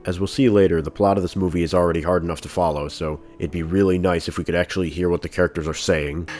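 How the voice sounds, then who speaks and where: A man reviewing a film in voice-over, with a dry, monotone, deadpan delivery. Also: low-pitched, fast talker, faint music behind.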